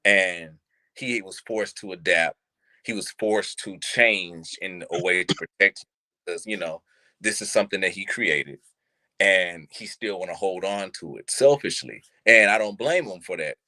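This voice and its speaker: A man around thirty talking casually on a live-streamed show, animated, using street slang and checking in with listeners often. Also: low voice, normal speed, no echo, rough recording. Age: 30s. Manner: animated, street slang, repeats "you see what I'm saying". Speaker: podcast co-host. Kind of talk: casual chat. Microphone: live stream mic.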